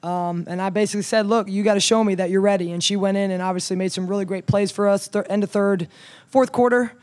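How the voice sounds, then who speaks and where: A woman in her thirties, a head coach, talking through a game at a postgame press conference in a measured way, with many ums and restarts, into a table mic. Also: medium pitch, fairly even intonation, darkish tone. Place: press room in front of a logo backdrop. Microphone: table mic on a stand.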